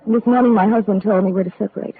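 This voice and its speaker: A wistful, lovelorn wife played by a woman in a 1940s radio melodrama. She is genteel and earnest, pleading in halting phrases. The recording is thin and tinny with faint noise. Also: medium pitch, fairly even intonation, close to an everyday voice. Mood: pleading, sad. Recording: tinny, noisy old radio broadcast.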